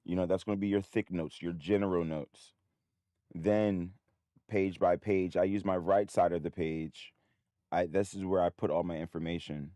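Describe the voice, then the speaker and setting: A man hosting a trading livestream in a casual, rambling way, his voice a bit hoarse. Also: deep voice, fairly even intonation, normal speed, mellow, no echo. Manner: rambling, many restarts and fillers. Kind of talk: casual live-stream talk.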